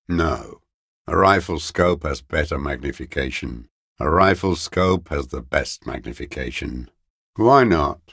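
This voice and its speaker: Casting: a bitter old sniper in hiding, video game; creaky, gravelly, scornful, very dry close sound. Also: male voice, a bass voice, fairly bright tone, dry recording, exaggerated voice. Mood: grim, unrepentant. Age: older. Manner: slow, contemptuous, bitter invective. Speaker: video game character actor. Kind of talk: dramatic video game voice acting.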